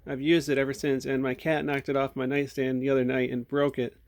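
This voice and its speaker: Male hobbyist host, mid-range voice, low-key and unscripted, narrating hands-on bench work into a camera mic. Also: little pitch movement, fairly quick. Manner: low-key, thinking aloud while working. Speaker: hobbyist host showing a radio repair. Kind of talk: casual unscripted hands-on demonstration. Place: indoor workbench. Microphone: handheld or tripod camera mic.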